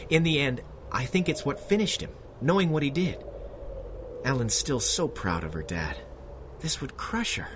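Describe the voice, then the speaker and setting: Brief: anxious, good-hearted constable, hesitant and earnest, lively intonation, noisy ambience behind him. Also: male voice, mid-range voice. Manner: halting, sincere, flustered. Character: earnest, awkward, honest young policeman, anxious and hesitant. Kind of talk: 1930s radio adventure serial acting.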